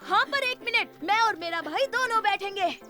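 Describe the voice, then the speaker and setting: An actor voicing an excitable, mischievous little boy rabbit in a children's cartoon, exclaiming playfully and indignantly. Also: very high-pitched, normal speed, soft background music, heavily acted. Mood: excited.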